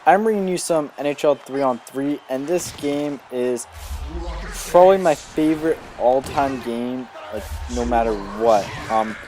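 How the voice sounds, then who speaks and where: A man with a slightly hoarse, cold-roughened voice gives casual, meandering gameplay commentary. He leans on ums and "you know" as he goes. His pitch sits in an ordinary middle register, and steady background noise runs under the recording. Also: unhurried. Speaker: gaming video host.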